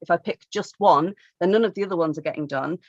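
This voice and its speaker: A woman's voice: lowish voice, fast, a little mellow, dry recording. Female co-host, casual and wry, chatty question-reader, close dry sound with dull lo-fi quality. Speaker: co-host reading out audience questions. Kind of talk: casual chat.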